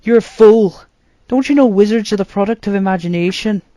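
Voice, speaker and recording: A man's voice: high voice, fairly quick, mellow, no room sound, voice pushed up, natural voice. A man voicing an anguished young farm lad, emphatic and imploring, in a storybook reading. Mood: alarmed.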